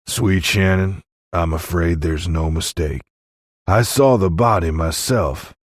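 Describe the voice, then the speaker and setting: Man acting a deep, grim Old West sheriff. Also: no room sound, studio quality. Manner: slow, folksy, blunt.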